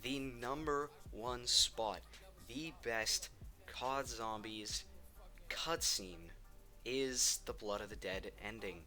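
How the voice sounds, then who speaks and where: A man's voice: mid-range voice, slow, bright, forceful tone, faint music behind. A man giving casual, opinionated countdown commentary on video games, enthusiastic and emphatic, repeating words for stress. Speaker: video game ranking host.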